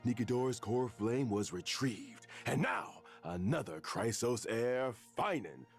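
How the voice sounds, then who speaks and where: A man's voice: mid-range voice, animated, slow pace, soft background music. A man voicing a jovial, theatrical host of a video game special program, showy and courteous. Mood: playful, theatrical.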